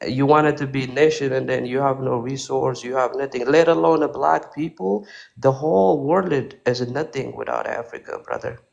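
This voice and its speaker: A man from Ethiopia, calling in to a talk room and speaking haltingly with many fillers. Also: medium pitch, gravelly, lo-fi sound. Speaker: caller. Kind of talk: casual chat.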